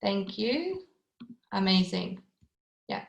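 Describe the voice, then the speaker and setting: Latvian-accented woman pitching over a video call. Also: sing-song, slow pace, creaky, echoey room, muffled recording. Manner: enthusiastic, with frequent um and uh fillers. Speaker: webinar presenter. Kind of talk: online sales presentation.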